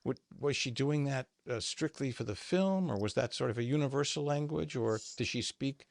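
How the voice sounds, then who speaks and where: A man's voice: mid-range voice, normal speed, gravelly, no room sound, studio quality. A man interviewing a filmmaker and asking long, rambling questions.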